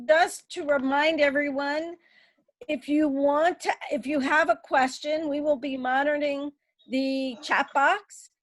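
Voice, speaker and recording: A woman co-moderating a webinar, reading a formal introduction in a measured tone and then giving the audience instructions. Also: high voice, no room sound. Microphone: webinar call.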